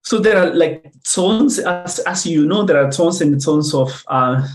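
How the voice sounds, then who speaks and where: Young Ethiopian-born male academic on a video call, slow and halting, full of fillers and restarts. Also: on the high side, lively intonation, soft background music. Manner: hesitant, many fillers and restarts. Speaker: conference speaker. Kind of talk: academic presentation.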